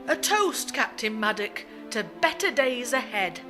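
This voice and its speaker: A woman's voice: on the high side, big pitch swings, a little slow, over music. An actress playing a teasing, genteel lady of a wartime household in a period drama. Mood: gracious. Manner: teasing, old-fashioned idioms.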